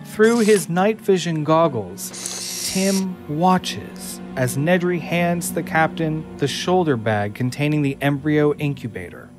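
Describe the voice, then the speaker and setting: A man's voice: on the high side, expressive, slow, music in the background. A man narrating a scripted audio drama, reading screenplay action.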